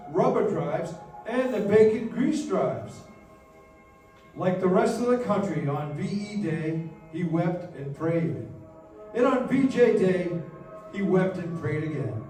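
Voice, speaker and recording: A man playing a senior angel who patiently narrates a life story in a measured, explanatory way in a radio play. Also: high-pitched, a little mellow. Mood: solemn storytelling. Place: live stage in a dance hall. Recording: echoey dance hall, distant, faint music.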